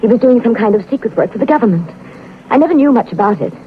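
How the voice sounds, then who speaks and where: An actress playing an anxious, grieving young wife in an earnest, emotional 1940s radio drama style. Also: female voice, on the high side, lively intonation, fast, lightly acted. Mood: anxious, confiding. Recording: dull, hissy vintage radio recording.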